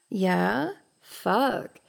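A woman playing a teasing, dominant young roommate in an intimate audio roleplay, speaking slowly and often in a whisper. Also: medium pitch, a little mellow, full character voice. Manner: slow, husky, often whispering.

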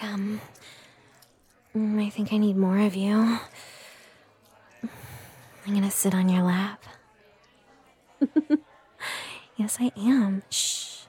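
Woman acting as playful adult girlfriend, intimate audio roleplay, unhurried, breathy, soft mellow tone, teasing. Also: medium pitch. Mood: coaxing, playful. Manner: breathy, slow, teasing, often dropping into whispers.

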